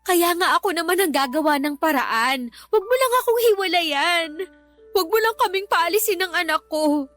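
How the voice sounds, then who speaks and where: High-voiced pleading girlfriend. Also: lively intonation, normal speed, a bit bright. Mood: desperate begging. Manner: pleading, emotional. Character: pleading live-in girlfriend and mother, proud but desperate. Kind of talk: Tagalog radio drama acting. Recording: faint music underneath.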